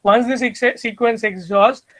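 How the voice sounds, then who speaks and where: High-voiced Indian English lecturer, dry sound. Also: male voice, fairly even intonation, unhurried, fairly bright tone, no room sound. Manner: explanatory, repeats and restarts phrases. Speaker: university lecturer. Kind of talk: online lecture. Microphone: computer mic on video call.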